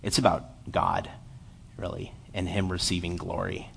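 A man in his late twenties giving a church sermon, lively while telling a story and then quiet and earnest as he reads scripture. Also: deep voice, moderate pace, vocal fry, a little hiss or hum. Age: 20s. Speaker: lay preacher.

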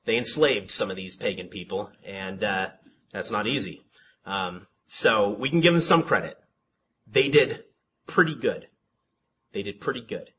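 Husky-voiced man teaching a Bible study. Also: lowish voice, measured pace. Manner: self-described monotone, repeats words and restarts, asks rhetorical questions. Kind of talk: conversational sermon teaching.

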